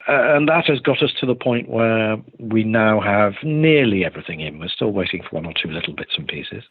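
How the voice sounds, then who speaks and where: A man's voice: on the low side, lively intonation, a little fast, husky. An older man, a theatre director, answers interview questions conversationally in a discursive way with many qualifications, over a remote call. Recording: tinny phone-like line, roomy echo.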